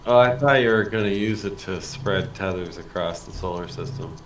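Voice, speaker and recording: A man's voice: low voice, normal speed, echoey room, a little hiss or hum. A man co-hosting a casual gaming livestream, cracking dry teasing jokes and laughing a lot. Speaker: streamer co-host.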